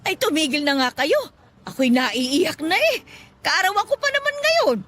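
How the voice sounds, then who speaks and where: A woman playing a warm, forgetful elderly grandmother in a Filipino radio drama, exclaiming and chuckling as she fusses. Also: sits high, sing-song, normal speed. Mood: touched. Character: warm, teary, forgetful elderly grandmother who runs a store.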